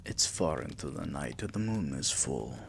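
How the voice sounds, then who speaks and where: A man playing a possessive, tender yet menacing vampire king in an intimate ASMR roleplay, murmuring softly and dipping into whispers. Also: rumbling low, animated, slight background noise, exaggerated voice. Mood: concerned, teasing. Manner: soft, slow, breathy murmurs dipping into whispers.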